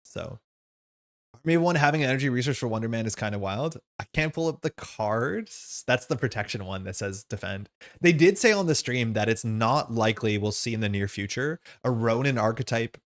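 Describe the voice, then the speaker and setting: A man's voice: medium pitch, animated, brisk, dry recording, clean studio sound. A man giving casual live stream commentary as he plays a card game, rambling and thinking aloud. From Canada.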